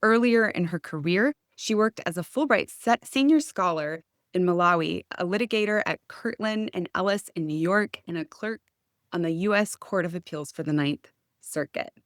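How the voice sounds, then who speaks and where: A woman hosts an interview show in a mid-pitched voice. She phrases things carefully, as if from prepared notes, and sounds articulate. The recording is dry, with very little room around her. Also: moderate pace, no room sound. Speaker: podcast host. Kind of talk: prepared introduction and interview questions.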